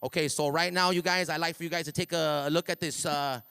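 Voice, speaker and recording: A loud middle-aged man from East Los Angeles speaks to students as a hype man, his voice resonant and clear. He repeats and restarts words as he goes, talking into a handheld mic. The long reverb of a big school hall hangs behind every word. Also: fairly high pitch, steady tone, quick pace. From East Los Angeles, USA. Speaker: ring announcer speaking at a school assembly. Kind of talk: motivational speech to students. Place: school auditorium.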